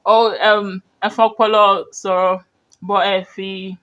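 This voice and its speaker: High-voiced man. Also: little pitch movement, slow pace, pushed and bright, lo-fi sound. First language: Yoruba. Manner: rambling, earnest, full of "you know" and restarts. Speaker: media commentator. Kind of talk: reaction commentary on video clips.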